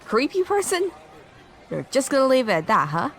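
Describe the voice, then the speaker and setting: A woman's voice: high voice, wildly swooping pitch, moderate pace, a bit bright, a faint crowd, exaggerated voice. A woman voicing a prim, skeptical young friend in an audio drama, with formal wording.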